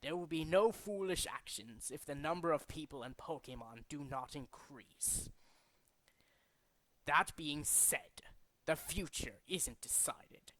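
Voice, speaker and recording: A man voicing a grandiose, zealous villain leader in a video game, speaking in a deliberate, pompous, theatrical way. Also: on the high side, a bit bright, no room sound, poor audio. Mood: solemn, brooding. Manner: deliberate, dramatic, pompous declaiming. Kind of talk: theatrical video game character demo reel.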